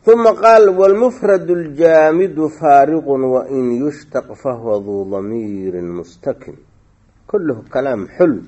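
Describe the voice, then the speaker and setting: A man's voice: mid-range voice, a little slow, warm tone, highs cut off. A man teaching a classical Arabic grammar lesson, explaining points and quizzing his students with short questions.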